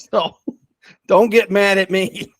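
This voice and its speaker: Male podcast guest, slightly high voice, dry close sound, enthusiastic and chuckly in casual conversation. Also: fairly even intonation, slow, dry recording. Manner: enthusiastic, chuckles while talking. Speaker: podcast guest presenting a ranked list.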